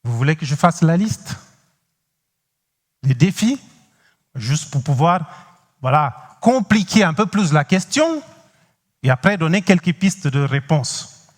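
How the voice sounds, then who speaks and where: Cape Verdean male pastor, non-native French speaker, unhurried halting sermon, long reverb of a large church. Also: fairly high pitch, very animated. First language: not French. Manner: halting, many pauses and restarts, addresses the congregation directly. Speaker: guest pastor.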